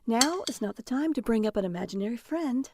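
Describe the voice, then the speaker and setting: A woman's voice: fairly high pitch, lively intonation, a little fast. An actress playing a stressed mother and trucker's wife in an audio drama, by turns snappish and chatty. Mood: exasperated, scolding. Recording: faint background noise.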